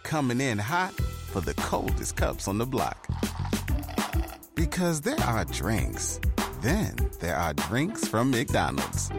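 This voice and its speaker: A man's voice: on the low side, wildly swooping pitch, slow, gravelly, loud music behind the voice. A man doing a casual, playful scripted read as an advertising voice-over.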